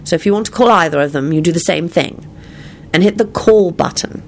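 A woman's voice: fairly low pitch, brisk, a little mellow, a little hiss or hum, close to an everyday voice. A woman voicing a teasing, amused, patient woman in a storybook, explaining things as she goes. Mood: amused, instructive. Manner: teasing, instructive.

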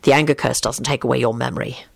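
A woman's voice: extremely low pitch, fairly even intonation, fast, creaky, slightly rough, no echo, studio quality, pitched below the performer's own voice, exaggerated voice. A woman voicing a stern, worried wizard man in a story, terse and weary in manner. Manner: terse, impatient, firm.

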